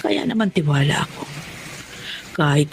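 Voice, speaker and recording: A woman's voice: low voice, expressive, moderate pace, slight background noise. Friendly, trusting Filipino lady customer. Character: friendly, trusting well-off adult female regular customer. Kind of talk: Filipino drama acting.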